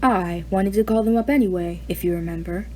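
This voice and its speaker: A woman voicing a busy grown man inventor who talks in quick, offhand bits. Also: mid-range voice, dark tone, slight background noise, natural voice.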